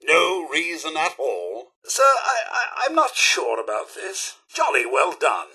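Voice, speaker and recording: An actor playing a prim, cheery, sardonic British robot butler in a video game, with a fussy, over-polite manner and dry sarcasm. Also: male voice, on the high side, wildly swooping pitch, takes it slowly, pushed and bright, breathy edge, heavily acted. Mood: prim disapproval. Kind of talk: video game companion voice lines.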